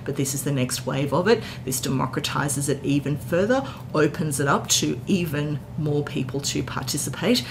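A woman in her forties giving an enthusiastic, informal explainer recap to camera as a tech presenter, indoors. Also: moderate pace. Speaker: tech content creator, presenter. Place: indoor hotel-style room. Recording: clear background noise with low rumble.

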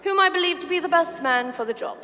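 A woman's voice: very high-pitched, animated, fast talker, over a noisy crowd. A woman playing a posh, falsely chummy schoolboy schemer with theatrical, drawling diction in a comic staged reading. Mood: mock solemn. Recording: live hall reverb with background noise.

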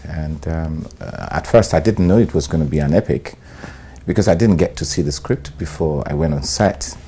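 A middle-aged Nigerian man, an actor, talking in a sit-down interview, relaxed and hesitant, with many "um"s and "you know"s. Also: a bass voice, moderate pace, breathy edge. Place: bright studio room, indoors. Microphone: lapel.

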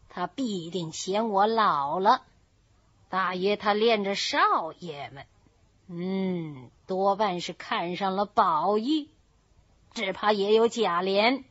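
A woman's voice: mid-range voice, fairly bright tone, slightly rough, full character voice. A woman voicing an angry, domineering old nobleman in a storybook reading, scolding and blustering. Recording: dull lo-fi recording.

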